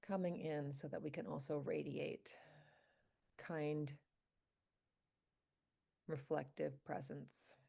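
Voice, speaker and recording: A woman leading a guided meditation for a group, speaking calmly and slowly in short, spaced phrases. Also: low-pitched, gravelly. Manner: calm, slow, pausing between short phrases. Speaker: meditation leader, physician.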